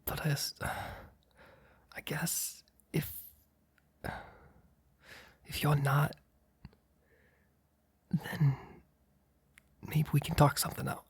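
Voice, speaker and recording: A man playing a guarded, earnest mob boss boyfriend in an intimate ASMR roleplay, speaking in a hesitant, breathy, husky whisper. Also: on the high side, flat delivery, very slow, pauses often, exaggerated voice. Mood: resigned, earnest. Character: guarded, apologetic young male mob boss, boyfriend confessing.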